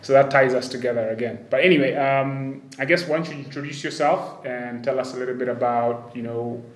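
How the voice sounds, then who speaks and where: A man's voice: mid-range voice, fairly even intonation, brisk. A man from Kenya hosting a casual podcast interview, chatty and upbeat, often restarting his sentences. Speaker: podcast host. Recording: roomy sound with faint ambience.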